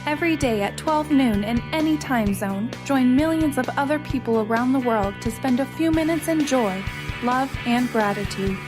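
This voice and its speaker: Female radio spot announcer, high voice, scripted promotional read over a music bed. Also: moderate pace.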